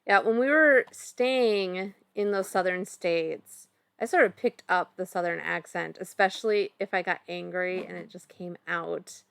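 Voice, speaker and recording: A woman's voice: lowish voice, unhurried, vocal fry, a bit bright, smooth, no room sound. A woman in her thirties with a Minnesota accent, chatting casually at home while playing an online board game and thinking aloud through her moves. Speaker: streamer co-host. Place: home room, indoors.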